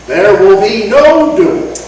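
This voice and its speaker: A man's voice: sits high, expressive, slow, a little mellow. A man playing an earnest, oratorical Old West gunfighter in a comic radio western. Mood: earnest, proclaiming. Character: high-minded Old West gunfighter declaring peace to townsfolk. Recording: echoey, distant stage recording, faint noise.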